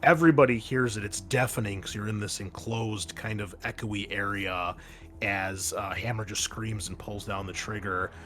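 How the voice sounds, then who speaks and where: A man's voice: on the low side, fairly even intonation, normal speed, fairly bright tone, faint music behind. A man working as game master, narrating a tabletop game and explaining its rules, with casual hesitant asides.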